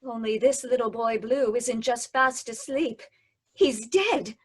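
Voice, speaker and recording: A woman's voice: fairly high pitch, slow, breathy edge. A woman acting an anxious, inquisitive sleuth's companion in an old-time radio mystery. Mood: uneasy, shocked. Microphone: video-call microphone.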